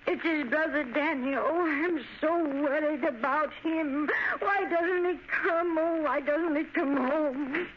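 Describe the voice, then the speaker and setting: A high female voice comes through muffled, noisy vintage radio sound. It is an anguished mother, protective and pleading, faltering as she frets in full melodrama style. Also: expressive, a little slow. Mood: anxious, grieving. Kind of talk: old-time radio melodrama acting.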